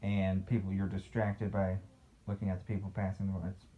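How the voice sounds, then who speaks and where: A man's voice: deep voice, monotone, fairly quick, dull audio, heavy background noise. A man reading a picture book aloud as a father to a child, playful, with casual asides and questions.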